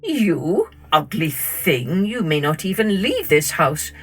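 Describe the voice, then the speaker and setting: A woman's voice: lowish voice, very animated, slow, faint music behind. A woman voicing a cruel, scornful stepmother in a fairy tale, scolding in a shrieking way. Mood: scornful, angry.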